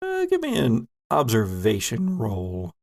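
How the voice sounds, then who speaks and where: A man narrating an improvised tabletop game as game master, building descriptions in halting, pieced-together phrases. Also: mid-range voice, huge pitch swings, creaky, very noisy.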